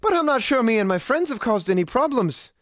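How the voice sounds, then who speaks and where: An actor playing a drunken outlaw villain in a western audio drama, taunting with mock politeness. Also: male voice, very high voice, sing-song, brisk, a bit bright, dry recording, studio quality, heavily acted.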